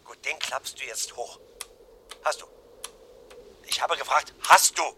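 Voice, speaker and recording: A man's voice: mid-range voice, measured pace, bright, forceful tone. An actor playing a tense police officer who urgently instructs and pleads with his wife in a German radio crime drama. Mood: strained, instructing. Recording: noisy old radio drama recording.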